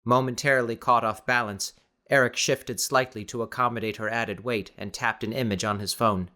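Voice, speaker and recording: A man narrating scripted dramatic fiction as an audiobook reader, in a measured, descriptive manner. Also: mid-range voice, steady tone, pushed and bright.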